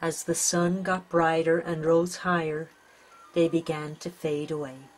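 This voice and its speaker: A woman's voice: low-pitched, flat delivery, measured pace, poor audio. A woman with an Irish accent narrating a scripted children's storybook. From Ireland. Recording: music under the voice.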